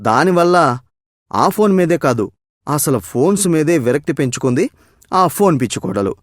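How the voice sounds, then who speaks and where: Lively male moral-story narrator. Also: on the high side, normal speed, no echo, studio quality.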